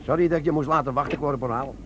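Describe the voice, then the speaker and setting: An actor playing a cheeky, scheming older army sergeant in a comic radio play, with a gravelly, colloquial delivery. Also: male voice, mid-range voice, racing, natural voice. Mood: conspiratorial. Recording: noisy old radio drama recording.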